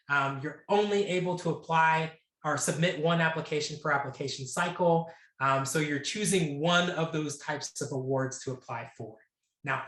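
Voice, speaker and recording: A man's voice: fairly high pitch, fairly bright tone. Man moderating a panel, roomy sound. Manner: casual, with many fillers and restarts. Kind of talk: presenting on a video call. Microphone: video call.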